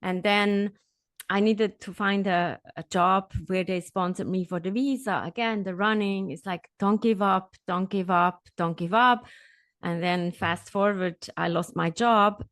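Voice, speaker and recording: A middle-aged woman who grew up in Switzerland, a podcast guest telling her story in an animated, upbeat way. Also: medium pitch, measured pace, dry recording. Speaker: podcast guest, executive coach. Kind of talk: conversational interview.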